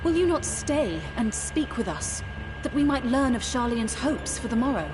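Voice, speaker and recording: A gracious noblewoman in a video game drama. Her fairly high voice is formal, diplomatic and measured. A clear hum sits behind her. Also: sing-song. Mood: courteous, entreating.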